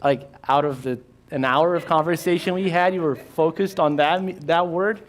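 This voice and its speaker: Man, Brazilian accent, higher voice, halting delivery, workshop speaker. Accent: Brazilian Portuguese. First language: Portuguese. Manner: relaxed, halting, many restarts and fillers. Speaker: insurance rep and risk manager. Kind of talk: workshop presentation.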